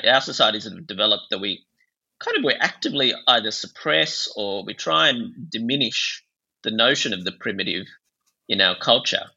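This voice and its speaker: A man from Bangladesh talks through ideas as an expert interview guest, discursive and intellectual. His voice is lowish and very bright, with a creaky edge. The audio is slightly dull and lo-fi. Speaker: psychiatrist and author, interview guest.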